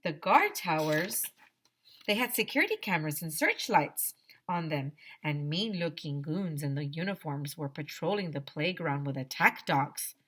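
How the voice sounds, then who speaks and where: Bright, lower-pitched woman reading aloud. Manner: expressive, pauses for asides to listeners. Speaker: teacher reading a story aloud. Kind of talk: animated children's read-aloud.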